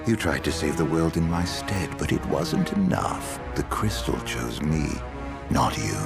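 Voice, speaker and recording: A man's voice: rumbling low, lively intonation, a little slow, creaky, full character voice. An actor playing a bitter, mocking villain in a video game, drawing out his taunts with contempt. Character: mocking, embittered male chancellor villain posing as prince. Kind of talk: dramatic video game voice acting. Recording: loud music over the voice.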